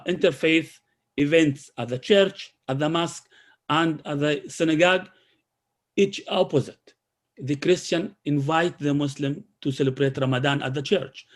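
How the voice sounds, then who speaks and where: A man from Israel who speaks with a halting manner, with many restarts and fillers, presenting his community work in a video call. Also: a little high. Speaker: community program coordinator.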